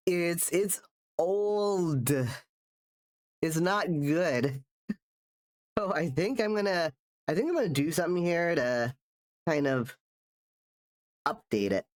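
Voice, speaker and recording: A man live-streaming while drawing, chatting casually and rambling, restarting his sentences, sounding nasal from a cold. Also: a little high. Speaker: streamer and artist.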